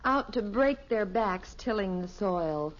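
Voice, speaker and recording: Wry 1950s sitcom mom, muffled. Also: female voice, mid-range voice, animated, slow, a little hiss or hum. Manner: dry, knowing. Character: patient, wry middle-aged suburban housewife mother. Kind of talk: 1950s radio sitcom acting. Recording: muffled vintage radio recording.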